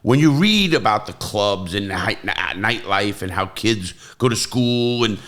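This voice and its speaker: A man's voice: lowish voice, animated, normal speed, fairly bright tone. A man hosting an interview, casual and rambling, often jumping in. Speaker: podcast host. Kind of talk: interview conversation.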